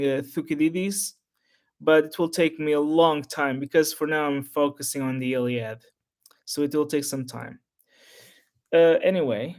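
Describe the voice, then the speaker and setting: Halting man, Portuguese native speaker. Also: fairly high pitch. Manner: halting, rambling, frequent restarts. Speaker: history YouTuber. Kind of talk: unscripted solo talk to camera.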